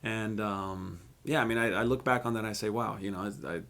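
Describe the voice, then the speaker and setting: A man's voice: on the low side, steady tone, brisk, creaky, a bit bright. Man reflecting casually in an interview. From Mexico and California. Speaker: podcast guest, musician.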